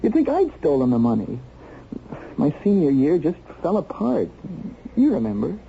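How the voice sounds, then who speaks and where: An actor playing a defensive, embittered young American son in a radio drama, hesitant and aggrieved. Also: male voice, a little high, wildly swooping pitch, moderate pace, creaky, exaggerated voice. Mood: bitter, aggrieved. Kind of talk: 1970s radio drama acting. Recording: muffled, noisy old radio broadcast.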